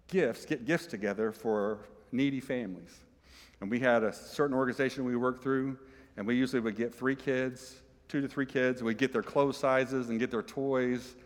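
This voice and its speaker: A male preacher delivers a relaxed, conversational sermon, joking with the congregation and throwing questions out to them. His voice sits in an ordinary middle range. A long hall reverb from the church trails every phrase. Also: mid-range voice. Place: church sanctuary.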